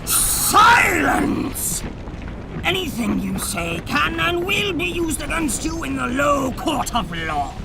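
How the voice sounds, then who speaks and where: Officious mole-man lawman, gravelly and swooping, slow pompous bark for an adventure radio play. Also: very high voice, very animated, very noisy, heavily acted. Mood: barking, suspicious. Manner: barking, pompous legalese. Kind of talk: adventure audio drama acting.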